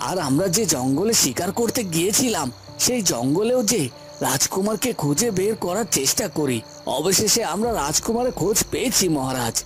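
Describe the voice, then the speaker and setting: A scheming royal courtier from a fairy-tale cartoon, talks in a slightly high voice. He sounds deferential and measured, with music clearly playing underneath. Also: very animated. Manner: deferential, measured explaining to a ruler. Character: scheming courtier bringing grim news, outwardly respectful. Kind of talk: Bengali fairy-tale cartoon dramatic acting.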